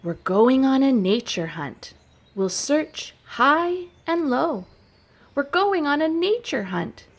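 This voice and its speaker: A woman who teaches young children, chatting and reading aloud gently in simple, unhurried phrases with little explanations. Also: high-pitched, very animated. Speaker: childcare educator leading story and song time for toddlers.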